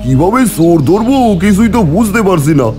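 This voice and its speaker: A fretful village vendor, voiced by a man, high-pitched and very lively in tone. He complains and exclaims in cartoon fashion, with clear music behind. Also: brisk. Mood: worried, plotting. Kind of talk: cartoon voice acting.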